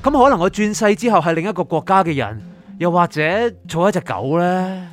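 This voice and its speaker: A man's voice: high voice, expressive, fairly quick. A man playing a wistful dead young orphan recalling his memories, with a Hong Kong Cantonese accent, in a radio drama. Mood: anguished. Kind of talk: radio drama narration and dialogue. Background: faint music.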